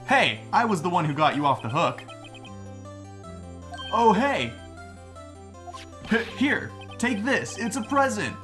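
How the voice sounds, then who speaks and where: A man's voice: sits high, sing-song, slow, pauses often, sharp tone, soft background music, exaggerated voice. A man voicing a whiny, lovelorn young slacker with an overwrought, slangy delivery in a fan-dubbed game. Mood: eager. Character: melodramatic, whiny young male slacker, lovelorn best friend. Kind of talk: fan-dub video game dialogue.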